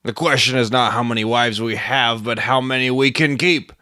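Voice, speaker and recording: A man's voice: medium pitch, steady tone, normal speed, sharp tone, no room sound, studio quality, close to an everyday voice. A man voicing a smug, coarse young suitor with a nasal voice and a sanctimonious manner in an audio story. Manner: pompous, sanctimonious. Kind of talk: literary audio story reading.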